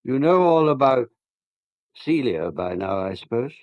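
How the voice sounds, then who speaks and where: A reflective, educated English culprit in a radio drama, resigned and hesitant. His mid-pitched voice is recorded dry, with a slightly dull tone. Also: very animated, a little slow, dry recording. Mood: weary, wistful.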